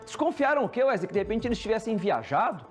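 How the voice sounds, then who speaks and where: A Brazilian man anchoring TV news, pressing a field reporter with questions and thinking out loud. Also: high-pitched, big pitch swings, fast talker, soft background music. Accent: Brazilian Portuguese.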